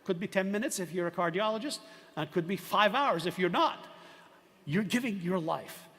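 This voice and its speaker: An older man lectures in a discursive, emphatic way and often poses rhetorical questions to his audience. His voice sits a little high for a man. The sound carries a long reverb from a lecture hall. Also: normal speed, bright, forceful tone. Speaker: professor lecturing. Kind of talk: university lecture.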